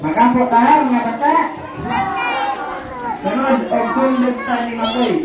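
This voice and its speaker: A woman's voice: a little high, level tone, a little slow, close to an everyday voice. A woman with a Visayan Filipino accent voicing an eager puppet companion who coaxes children along in a religious puppet show. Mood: coaxing. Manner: coaxing, often adds questioning tags. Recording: distant, very echoey, muffled, noisy crowd.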